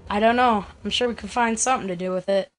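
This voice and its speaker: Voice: mid-range voice, steady tone, fast, natural voice. A young performer playing a skeptical, casual ten-year-old boy in an amateur audio drama. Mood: musing.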